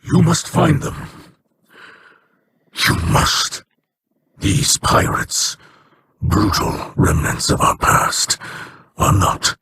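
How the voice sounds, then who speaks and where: An actor playing a solemn alien ally who speaks in slow, formal, measured sentences, in a noisy game audio rip. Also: male voice, on the high side, expressive, gravelly, sharp tone, slightly rough, heavily acted. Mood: grave.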